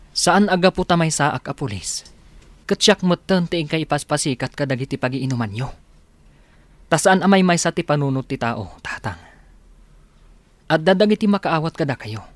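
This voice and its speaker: A man's voice: a little high, no echo, close to an everyday voice. An actor playing a stern, earnest policeman son who speaks admonishingly in an Ilocano radio drama. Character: stern grown policeman son scolding his father.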